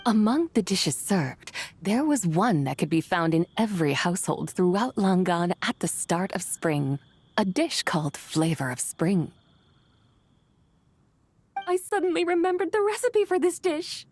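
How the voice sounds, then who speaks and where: A woman's voice: medium pitch, very animated, a little slow, darkish tone, husky, full character voice. A woman voicing a gracious, wise ancient immortal in a video game, speaking formally and with poise. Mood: gracious, reminiscing. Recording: background music.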